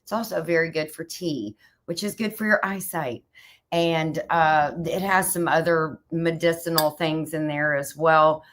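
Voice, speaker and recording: A middle-aged woman, a little raspy this morning, chatting casually as a livestream host and greeting viewers by name. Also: sits low, measured pace, slight background noise. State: slightly raspy from a chill.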